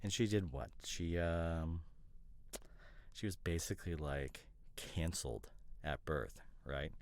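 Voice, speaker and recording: A man playing an opinionated, teasing boyfriend in an ASMR roleplay, chatting casually in a hesitant, rambling way with mock seriousness and a gravelly voice. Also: deep voice, takes it slowly. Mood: playful. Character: opinionated, teasing boyfriend debating couple's costume ideas.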